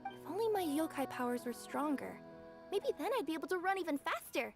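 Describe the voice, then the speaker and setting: A woman voicing a perky, hardworking young cat yokai girl in a video game, chatty and expressive. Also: high-pitched, fairly quick, faint music behind. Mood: musing, chatty.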